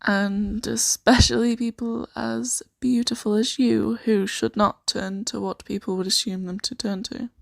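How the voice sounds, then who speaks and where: A woman improvising an ASMR roleplay as a kindly, earnest host of a fantasy sanctuary, speaking softly and reassuringly with some hesitation. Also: a little high, steady tone, unhurried, gravelly, few pauses, no echo. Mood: earnest, gentle. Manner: soft-spoken, gently hesitant, reassuring.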